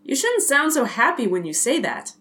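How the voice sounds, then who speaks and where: Lady as a excitable jokey guy detective, high bouncy voice, playful. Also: moderate pace, full character voice. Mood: excited. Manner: playful, enthusiastic. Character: boyish, excitable, wisecracking male police detective.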